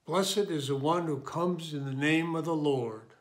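A man in his sixties reading scripture in a measured, deliberate way, recorded in a room at home. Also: fairly high pitch. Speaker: lay scripture reader.

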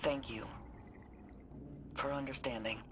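A woman's voice: a bass voice, steady tone, slow. An actress playing a plainspoken woman soldier in a game cutscene, casual and a little hesitant. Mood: earnest. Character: candid woman soldier, steady and reassuring. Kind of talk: video game cutscene acting. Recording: game audio with noisy ambience.

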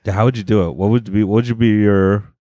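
A man co-hosting a comedy podcast in casual chat, crude deadpan joking that jumps high when he mocks others. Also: quick pace, dry recording, studio quality. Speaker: podcast co-host.

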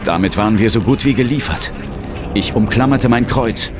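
A man's voice: fairly low pitch, moderate pace, lightly acted. An actor playing an intense ghost hunter who narrates his own peril in a horror audio drama. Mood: tense. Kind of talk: scripted first-person horror narration. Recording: dramatic music underneath.

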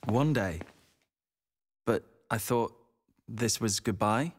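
A man's voice: fairly low pitch, slow pace. An actor playing a curious, unsure young man in an audio drama, hesitant and inquisitive. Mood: surprised, unsure.

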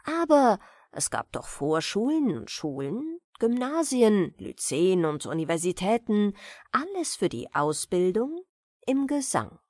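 A woman narrating a fairy tale as an audiobook storyteller, deliberate and expressive, with dramatic pauses. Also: mid-range voice, dry recording, clean studio sound. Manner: deliberate, dramatic pauses between short phrases.

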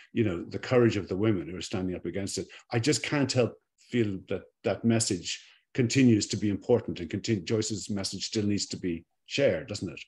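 A man's voice: on the low side, darkish tone. A middle-aged Irish man, a documentary director, answering reflectively and repeating words as he searches for them, on a video call from a home room with bookshelves. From Ireland. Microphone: video call webcam.

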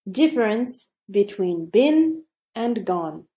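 A woman reading a scripted grammar lesson aloud, slowly and deliberately with pauses between phrases. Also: medium pitch, big pitch swings, warm tone. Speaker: English teacher.

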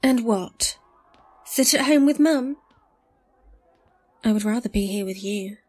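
A woman's voice: fairly high pitch, takes it slowly, darkish tone, husky. A woman playing a devoted, defiant young college student in an audio drama.

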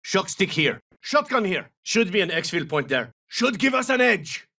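Tense, high-pitched battle-hardened soldier. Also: male voice, sing-song, normal speed, pushed and bright, recorded from a distance, heavily acted. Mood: low, alert. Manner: clipped, terse, urgent radio-style callouts. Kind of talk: military video game combat callouts.

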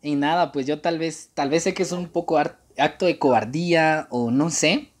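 A man, speaking haltingly and coyly on a casual prank phone call. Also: fairly high pitch. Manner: hesitant, halting, coy. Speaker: prank caller and channel host. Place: small room indoors.

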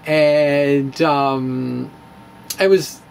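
A middle-aged man talking in a halting, wry, conversational way as he presents his personal blog on physics into a close mic. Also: fairly high pitch, fairly even intonation, gravelly, darkish tone, slight background noise. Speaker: amateur physicist and video blogger. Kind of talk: dramatic reading of a personal blog, loosely conversational. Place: small indoor room with bookshelves. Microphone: handheld-style dynamic mic on a stand, close up.

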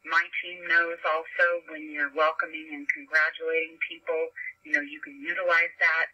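A woman on a conference call, giving a halting, encouraging motivational talk as a home business marketer over the telephone. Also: deep voice, flat delivery, bright, forceful tone. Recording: muffled telephone conference line.

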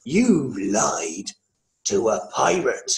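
A young man playing a gruff, bossy pirate captain in a children's puppet show, with theatrical exclamations, easily confused. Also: medium pitch, wildly swooping pitch, very slow, exaggerated voice. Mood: indignant, menacing. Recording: roomy, noisy home recording.

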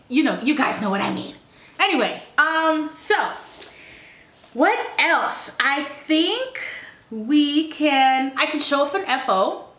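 A woman's voice: medium pitch, fairly bright tone, roomy sound. A young woman hosting a knitting video podcast, chatting to the camera in an upbeat way, with many fillers and restarts, recorded at home. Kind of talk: casual chat to camera. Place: small indoor room at home.

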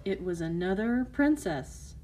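A woman's voice: medium pitch, animated, a little slow, over music, low-quality recording. A woman narrating a scripted fairy tale for children as a storyteller.

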